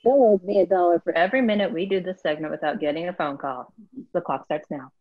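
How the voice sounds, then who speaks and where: A sarcastic young female producer played by a woman, quick and husky on a thin, phone-like recording. She mocks in deadpan style in a comedy script reading. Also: on the low side, lightly acted. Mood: sardonic. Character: sardonic, mocking young female radio producer, 22. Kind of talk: comedy script table read.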